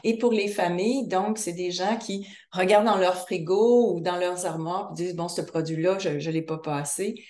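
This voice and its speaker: A woman's voice: on the low side, steady tone, moderate pace, few pauses, a little mellow. A woman interviewing a guest, reflective and earnest as she sums up what she has heard. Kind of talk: conversational interview. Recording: echoey room.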